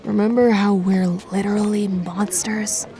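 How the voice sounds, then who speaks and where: A woman's voice: mid-range voice, steady tone, a little slow, a crowd in the background, close to an everyday voice. An actress playing a sceptical, sarcastic female werewolf in a radio drama, with dry delivery. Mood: dry sarcasm.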